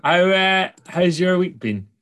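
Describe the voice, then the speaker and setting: A man's voice: high voice, animated, slow pace, a bit bright. A man hosting a podcast, asking questions in a friendly, casual way. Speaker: podcast host, interviewer. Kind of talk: casual interview chat.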